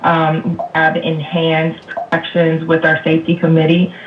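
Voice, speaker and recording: Man, fairly high even voice, halting formal interview answers, dull radio playback in a roomy space. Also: a little hiss or hum. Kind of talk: measured news interview answers.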